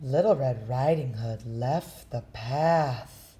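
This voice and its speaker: A woman reading a children's story aloud with slow, expressive storytelling. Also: rumbling low. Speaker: storybook reader.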